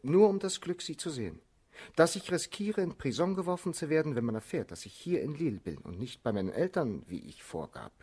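Husky, flattering young baron. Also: male voice, a little high, brisk. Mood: ardent flattery. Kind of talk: German radio play acting.

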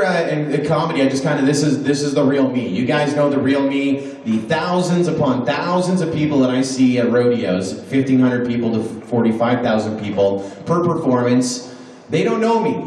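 A man's voice: mid-range voice, a little mellow. A middle-aged man performing a stand-up comedy set on a small club stage, talking loosely into a handheld mic and landing crude punchlines. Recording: echoey room recorded from a distance.

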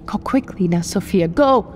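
A woman's voice: mid-range voice, lively intonation, moderate pace, darkish tone, a little hiss or hum, natural voice. A woman voicing a frightened, protective mother in a horror story, urgent and soothing through her fear.

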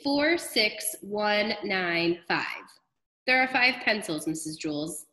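A woman's voice: mid-range voice, lively intonation, takes it slowly, pushed and bright. A woman voicing a stubborn young schoolboy in a storybook, protesting and pleading to get to recess. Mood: earnest counting. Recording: echoey room.

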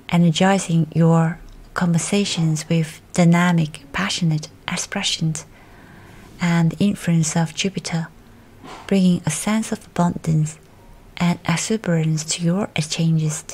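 Slow, low-pitched woman guiding meditation. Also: darkish tone. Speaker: guided meditation narrator. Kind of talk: scripted guided meditation. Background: music.

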